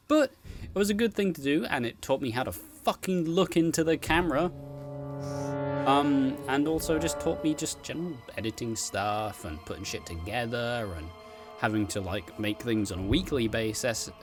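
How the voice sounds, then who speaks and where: A man hosting a casual, rambling solo show, swearing freely and joking at his own expense with a giggly manner. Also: mid-range voice. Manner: sweary, self-deprecating, giggly. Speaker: host, streamer and content creator. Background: background music.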